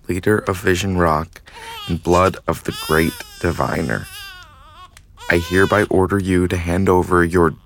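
A man playing a grave, conflicted young nobleman in an audio drama, with a restrained, solemn manner. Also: low voice.

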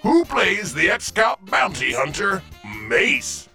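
A man's voice: high-pitched, sing-song, slow, bright, forceful tone, faint music behind. A man hosting a zany scripted quiz show in a theatrical, exclamatory way with sing-song catchphrases. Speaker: game show host.

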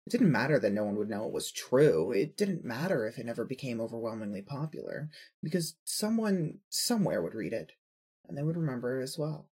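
Husky male story narrator. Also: medium pitch. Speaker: narrator of fan fiction audio story. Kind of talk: scripted second-person story narration.

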